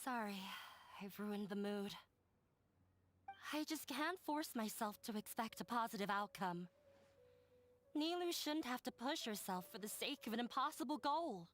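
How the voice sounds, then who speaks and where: A woman's voice: on the high side, expressive, measured pace, pauses often, a bit bright. An actress voicing a blunt, skeptical young woman in a video game cutscene, speaking soberly. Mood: glum.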